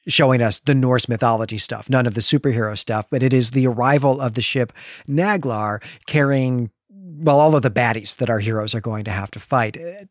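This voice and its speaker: Fortyish guy geeking out on a podcast, normal pitch, dry close mic. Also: no echo. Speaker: podcast co-host. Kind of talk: casual chat about comics.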